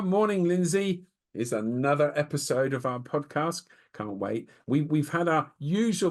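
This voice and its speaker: A man co-hosting a business podcast in relaxed chat, thinking aloud as he speaks. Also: mid-range voice. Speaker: podcast co-host.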